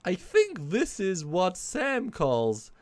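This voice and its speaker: A man's voice: high-pitched, huge pitch swings, slow pace, darkish tone, dry recording, voice pushed up, full character voice. A man voicing a grumpy male puppet, a former Shakespearean actor stuck with a put-on Southern colonel drawl, theatrical and insulting. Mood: blustering. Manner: theatrical, insulting, self-pitying bluster. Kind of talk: comic puppet bit on a livestream.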